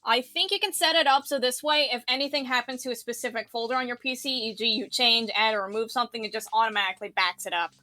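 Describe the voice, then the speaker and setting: A woman's voice: fairly high pitch, fast, hardly any pauses, bright, forceful tone, no echo. A woman chatting casually as she guides someone through a tutorial, with music in the background. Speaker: tutor guiding a visual novel project.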